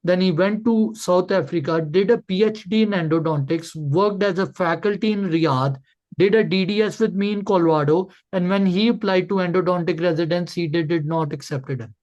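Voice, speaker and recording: Man, high pitch, blunt informal coach, emphatic repetition, dull lo-fi call recording. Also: moderate pace, darkish tone. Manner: blunt, emphatic, repeats words. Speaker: coach hosting a Q&A session. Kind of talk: informal advice to online callers.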